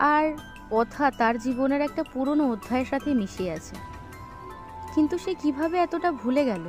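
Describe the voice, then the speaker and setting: A woman's voice: on the high side, a little mellow. A woman narrating a scripted suspense story in a dramatic way.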